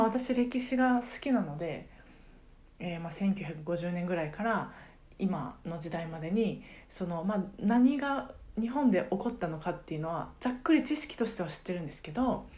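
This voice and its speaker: A middle-aged woman chatting casually on a solo podcast, reflective and halting as she searches for words. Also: lowish voice, mellow. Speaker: podcast host and karaoke teacher.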